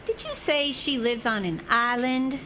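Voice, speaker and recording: A woman's voice: sits high, measured pace, bright, forceful tone, slight background noise. A woman playing a feisty, proud young bride from Texas in a radio drama, with a Southern US accent and folksy, teasing manner. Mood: sweet. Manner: sassy, folksy Southern turns of phrase.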